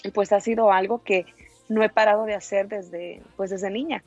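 A woman's voice: medium pitch, steady tone, normal speed, a little mellow, highs cut off, faint music behind. A woman in her thirties, born in El Salvador, a singer answering interview questions in a chatty way and laughing often. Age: 30s. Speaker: singer, guest.

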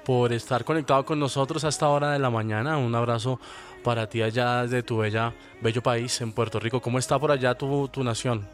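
Male host, mid pitch, friendly affirming questions. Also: fairly even intonation, brisk, faint music behind. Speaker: radio show host. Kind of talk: interview questions.

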